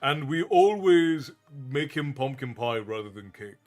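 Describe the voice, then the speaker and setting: A man's voice: mid-range voice, expressive, a little slow, a little mellow, soft background music. A man in his thirties from Ireland with an Irish accent mixed with American, chatting casually with viewers as a streamer and thanking them often.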